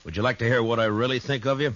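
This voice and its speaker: An actor playing a weary, principled frontier marshal in a 1950s radio western, calm, firm and measured. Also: male voice, medium pitch, lightly acted. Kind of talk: 1950s radio drama acting.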